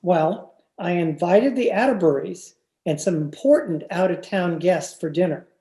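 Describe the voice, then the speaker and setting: A woman's voice: on the low side, animated, measured pace, mellow. A woman playing a sheepish, placating husband in an old-time radio sitcom, hesitant and apologetic. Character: sheepish, placating suburban husband, a mother's boy. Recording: roomy, echoing home video-call recording.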